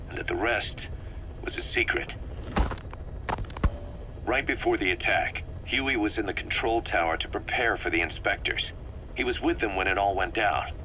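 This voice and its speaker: Casting: driven game officer, middle-aged, low but forceful and tense, clipped briefing delivery, faint tape noise. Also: male voice. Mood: puzzled, briefing. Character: bitter, driven military second-in-command, vengeful and intense. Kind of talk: video game voice acting. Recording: faintly noisy cassette tape recording.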